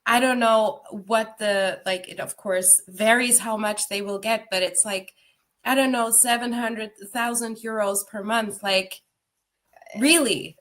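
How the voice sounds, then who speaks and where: A woman's voice: a little high, fairly even intonation, a little slow, a bit bright. A Finnish woman who grew up abroad, a podcast guest on a video call, speaking frankly with fillers and light laughs. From Finland. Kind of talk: casual interview chat.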